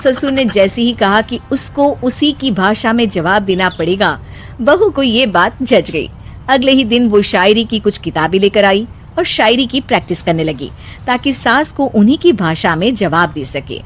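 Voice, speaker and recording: A woman reading scripted narration for an animated moral story. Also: mid-range voice, normal speed, slight background noise.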